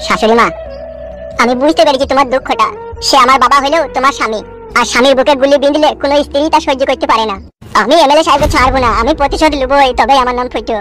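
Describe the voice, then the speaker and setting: A woman's voice: high-pitched, rapid-fire, a bit bright, faint music behind. Woman voicing a fiery young village son. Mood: vengeful. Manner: fierce, swearing, vows revenge. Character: hot-headed young village man, vengeful son.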